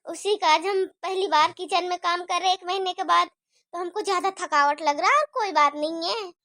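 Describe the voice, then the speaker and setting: A sly, sharp-tongued daughter-in-law, played by a woman, sugary to her mother-in-law's face and plotting in hushed mutters behind her back. Her voice is very high and bright, recorded dry and close in a homemade family skit. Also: moderate pace, no echo, full character voice. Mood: sweet, evasive.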